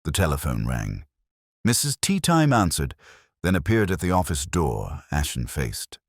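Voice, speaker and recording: A man reading a mystery story as a scripted narration, in a measured, literary storytelling manner. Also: very deep voice, wildly swooping pitch, no room sound, clean studio sound. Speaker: audiobook narrator.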